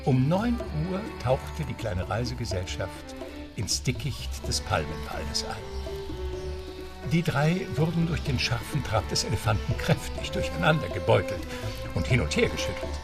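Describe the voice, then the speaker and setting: A man's voice: medium pitch, sing-song, a little slow, far from the mic, over loud music. A man narrating a radio play in a literary storytelling style.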